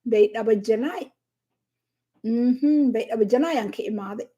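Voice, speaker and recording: A middle-aged woman from southern Somalia with a Somali accent, hosting a casual livestream, unhurried and halting with many fillers as she thanks viewers by name. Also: a little high, dark tone, husky, dull audio. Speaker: livestream host.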